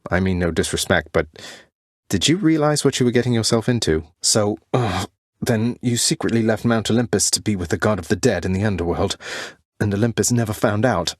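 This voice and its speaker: A male actor voices a young prince of the underworld in a dramatic video game. His voice sits fairly low and is recorded dry and close. He is earnest and defiant, with formal, slightly archaic phrasing that turns halting when feelings rise. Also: no room sound, lightly acted. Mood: thoughtful, probing. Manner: earnest, formal old-fashioned wording, halting when emotional. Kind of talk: video game character dialogue, dramatic acting.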